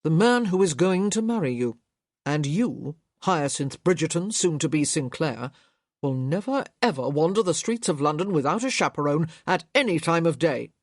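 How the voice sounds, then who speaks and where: A woman voicing a stern, proud young gentleman in an audiobook, speaking firmly with controlled, clipped delivery. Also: low-pitched, lively intonation, measured pace, dry recording, clean studio sound. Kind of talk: audiobook character voice.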